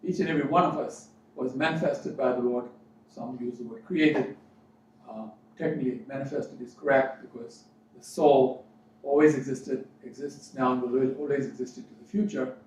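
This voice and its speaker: Male motivational spiritual coach from Fiji, deliberate preaching delivery with emphatic repeats; heavily reverberant room, distant mic. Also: medium pitch, steady tone, darkish tone. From Fiji, educated in New Zealand. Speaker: life coach and spiritual speaker. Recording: very echoey room, distant mic, dull sound, faint noise.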